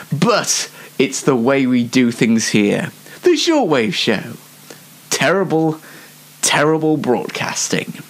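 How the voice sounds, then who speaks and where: A British man hosting an unscripted comic news show in a rambling, self-mocking way. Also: on the high side. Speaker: host of a comedy news show.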